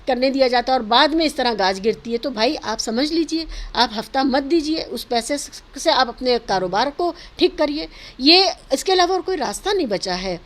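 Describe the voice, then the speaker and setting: High-pitched woman, emphatic commentator. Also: fairly bright tone. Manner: emphatic, argumentative, mixes in English words and phrases. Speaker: interviewee giving social and political commentary. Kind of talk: earnest opinion interview, spontaneous speech.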